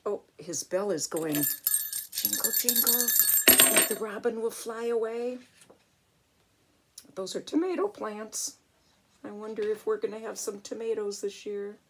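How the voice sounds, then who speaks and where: An older woman, a grandmother, reading a picture book aloud in an expressive way and chatting to a young child with little exclamations. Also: mid-range voice, husky. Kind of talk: storybook read-aloud with chatty asides to a child.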